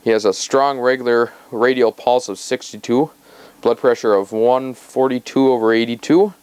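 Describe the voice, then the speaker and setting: A man's voice: medium pitch, fairly even intonation, normal speed, a little mellow, clean tone, no echo. A man speaking as a paramedic, giving a matter-of-fact, methodical clinical patient report.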